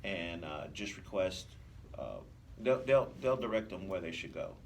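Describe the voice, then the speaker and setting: An American man speaking as a police officer guest in a radio interview, explaining things in a measured, conversational way. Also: sits low, lively intonation, vocal fry, a little mellow, far from the mic. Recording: faint noise, off-mic room sound.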